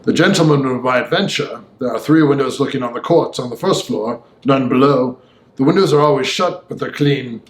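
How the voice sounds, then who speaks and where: A man voicing an opinionated young gentleman in an audiobook, talking in a formal, emphatic, discursive way. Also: medium pitch, fairly quick, echoey room, lightly acted, low-quality recording. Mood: matter-of-fact. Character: opinionated, talkative young Victorian gentleman, Mister Enfield. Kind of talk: audiobook character voice.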